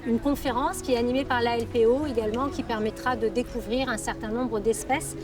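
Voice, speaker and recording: A woman's voice: on the high side, steady tone, fairly quick, over music. A woman working as a council project officer, explaining an outdoor environmental event with frequent hesitations and fillers.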